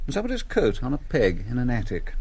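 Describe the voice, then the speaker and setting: An actor playing a reflective wartime Londoner, understated and sombre in stage drama dialogue. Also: male voice, mid-range voice, wildly swooping pitch, fast, gravelly, darkish tone, slightly rough, exaggerated voice. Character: sombre wartime Londoner describing bomb damage.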